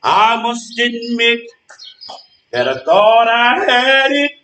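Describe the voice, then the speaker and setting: A man's voice: extremely high pitch, big pitch swings, bright, forceful tone, full character voice. A man on stage playing a troubled, remorseful believer who prays and pleads earnestly in a gospel play. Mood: remorseful, pleading. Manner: earnest, pleading, drawn-out delivery. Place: stage in a large room. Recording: roomy, echoing stage, distant microphone.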